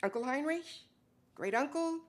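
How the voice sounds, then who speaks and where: A woman's voice: high-pitched, expressive, moderate pace, pitched above the performer's own voice. A woman performs a defiant wife and translator in a stage drama. She is intense and impassioned, speaking poetry and argument alike with dramatic weight.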